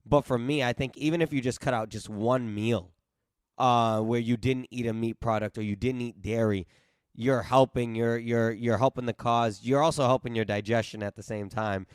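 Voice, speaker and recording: Host, late 20s male, eager casual talker with many restarts; mid pitch, dry recording. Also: steady tone, normal speed, smooth, no room sound. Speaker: podcast host. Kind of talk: casual interview chat. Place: East Coast home, remote video call.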